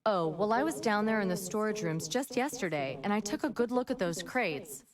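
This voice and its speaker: A woman's voice: medium pitch, expressive, normal speed, natural voice. Woman voicing a persistent FBI agent, distant. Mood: probing. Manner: polite, probing questions. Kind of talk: video game voice acting.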